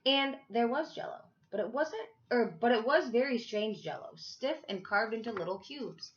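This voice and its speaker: A woman's voice: a little high, animated, normal speed, lo-fi sound. A woman reading a children's storybook aloud expressively, like a teacher to her class. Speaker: school teacher reading to third graders.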